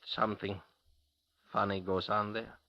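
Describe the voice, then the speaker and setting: Slow, low-voiced menacing jealous butcher. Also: male voice, level tone. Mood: suspicious, menacing. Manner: slow, terse, heavy, suspicious. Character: brooding, jealous, menacing husband, a working butcher. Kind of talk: 1950s radio thriller acting. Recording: dull vintage 1950s radio recording.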